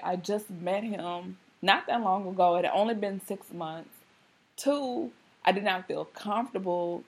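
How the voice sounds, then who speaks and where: A woman in her thirties hosting a podcast, talking candidly and casually with a slightly hoarse voice. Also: fairly low pitch. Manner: candid, conversational, many fillers. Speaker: podcast host. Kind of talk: casual monologue.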